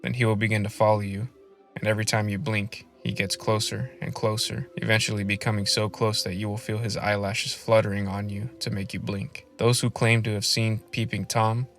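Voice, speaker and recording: A man presents a scripted video essay on film. His voice sits fairly low with a strong creaky edge. He speaks in a measured way, as a host addressing viewers. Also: flat delivery, fairly bright tone, soft background music.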